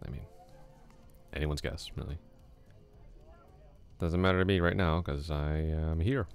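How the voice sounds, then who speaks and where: A man playing a laid-back flirty classmate, very deep. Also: steady tone, a little slow, clear, resonant tone, faint music behind. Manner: casual, easygoing, chuckles often. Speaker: ASMR roleplay voice actor. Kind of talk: ASMR roleplay, soft close talk.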